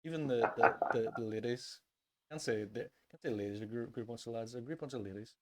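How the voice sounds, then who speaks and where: A man's voice: medium pitch, fairly quick. A Northern Irish man hosting a music podcast in a casual, chatty style. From Northern Ireland.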